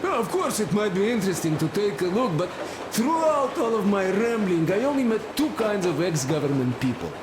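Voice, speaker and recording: An actor playing a plain-spoken, loyal, wary wanderer in a game, with a rambling, halting, colloquial way of talking. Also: male voice, sits high, big pitch swings. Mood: opinionated, chatty. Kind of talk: game cutscene dialogue. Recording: noisy, echoey, vehicle-like ambience behind.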